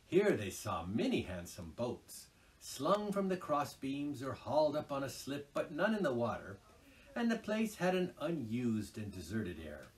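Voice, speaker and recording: A man reading a children's classic aloud in an expressive, unhurried storytelling manner. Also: mid-range voice, far from the mic, rough recording. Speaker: storybook reader.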